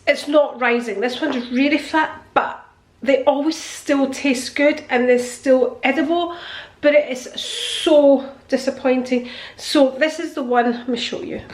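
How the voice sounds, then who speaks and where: A woman's voice: high-pitched, echoey room. A woman in her sixties chatting cheerfully to camera in her home kitchen, vlogging about her day. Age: 60s. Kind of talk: casual chat to camera.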